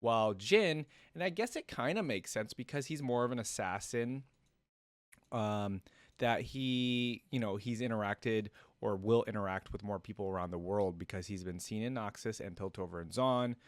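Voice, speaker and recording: A man's voice: lowish voice, normal speed, no room sound. A man giving casual, rambling reaction commentary as a video host, thinking aloud. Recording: music playing behind.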